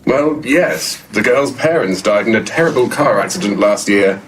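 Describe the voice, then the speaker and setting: A man's voice: lowish voice, animated, moderate pace, a bit bright, a little hiss or hum. An actor playing a protective, earnest old grandfather who is easily flustered, in an audio play. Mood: worried. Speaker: actor in an audio comedy drama.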